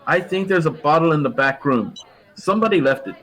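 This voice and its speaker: A man's voice: on the high side, expressive, fairly quick, a bit bright, a faint crowd. A man playing a brusque, wry bartender in a radio comedy. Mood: amused, wry. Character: dry, wry male bartender.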